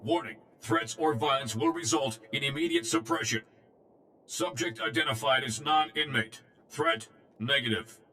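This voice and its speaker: A formal, emotionless male security droid for a video game. The voice is bright and forceful, delivering clipped official statements in a monotone, with faint noise behind it. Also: fairly low pitch, moderate pace. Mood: flat, official. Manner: formal, monotone, terse machine phrasing. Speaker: prison warden droid.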